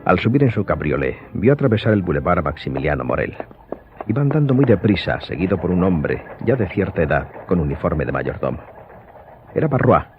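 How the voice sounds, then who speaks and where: A man's voice: deep voice, husky. A man reading scripted narration for an audio drama. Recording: slightly dull sound, music behind.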